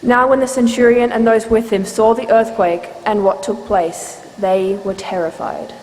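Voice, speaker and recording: A woman narrating scripture from a script as a measured, solemn storyteller. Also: a little high, fairly even intonation, darkish tone. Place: church, live reading. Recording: reverberant church hall, faint noise.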